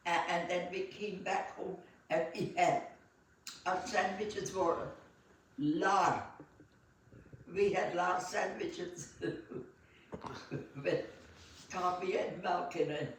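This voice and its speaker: A woman, recalling her life in an interview in a halting manner full of fillers and restarts. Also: lowish voice. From Belgium. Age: 90s. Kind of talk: reminiscing storytelling. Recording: echoey room, voice set far from the mic.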